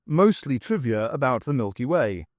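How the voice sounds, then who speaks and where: A man's voice: medium pitch, sing-song, moderate pace, dry recording, studio quality, close to an everyday voice. A man voicing an earnest male envoy in an audiobook, formal and explanatory in manner. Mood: businesslike.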